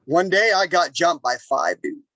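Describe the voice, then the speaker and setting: Podcast guest: man, high voice, animated storyteller who repeats himself. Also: normal speed, no room sound, poor audio. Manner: animated, talks in bursts with repeated phrases. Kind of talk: casual storytelling interview.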